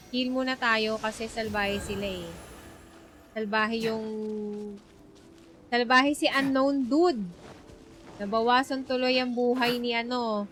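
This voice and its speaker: A woman's voice: on the high side, fairly bright tone, rough recording. A woman with a Filipino accent chatting casually as she livestreams a game, switching between two languages. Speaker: game streamer. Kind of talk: casual livestream gameplay commentary. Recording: livestream with game music behind. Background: game music.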